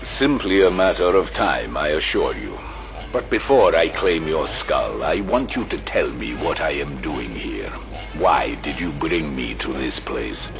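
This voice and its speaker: A hulking Chaos warrior with an extremely deep voice, speaking slowly and with taunting menace. His gravelly, sardonic delivery belongs to a dark audio drama, with prominent music underneath. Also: sing-song, full character voice.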